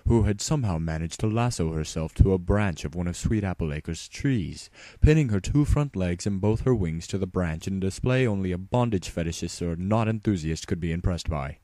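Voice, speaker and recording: A man's voice: sits low, lively intonation, a little fast, hardly any pauses, warm tone, no echo. A man reading a scripted story aloud as a dramatic narrator. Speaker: fan fiction narrator. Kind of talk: dramatic scripted narration, spoofing an audiobook reader.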